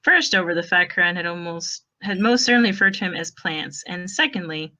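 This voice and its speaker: A woman narrating a piece of fan fiction in an expressive storytelling style. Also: fairly low pitch, a little fast.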